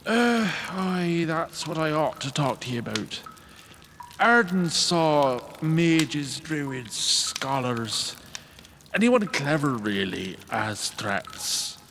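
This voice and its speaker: A man's voice: on the high side, sing-song, slow, fairly bright tone, full character voice. A man playing a blunt, scornful undead warrior general in a fantasy audio drama, speaking in insults and old-fashioned phrases. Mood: grim. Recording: echoing cave reverb, faint noise.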